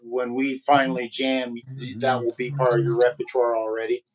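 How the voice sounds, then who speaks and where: A man with a low voice in casual conversation, rambling and halting with many fillers and restarts. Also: little pitch movement, over a noisy crowd, poor audio. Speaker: podcast co-host. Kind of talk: casual chat about guitar.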